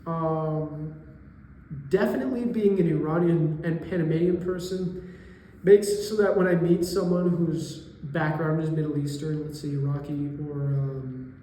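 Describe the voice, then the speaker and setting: A young man in his twenties, a college student from New York, answering interview questions thoughtfully and pausing to find his words. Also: a little high, steady tone, mellow. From New York area, US. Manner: thoughtful, pauses to search for words. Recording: echoey room with long reverb.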